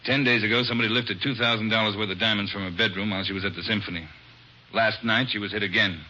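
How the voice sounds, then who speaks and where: An actor as a brusque, impatient chief of detectives. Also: male voice, on the low side, steady tone, quick pace, pushed and bright. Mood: gruff. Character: irritated, gruff male police chief. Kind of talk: 1950s radio crime drama. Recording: muffled old radio recording with hiss.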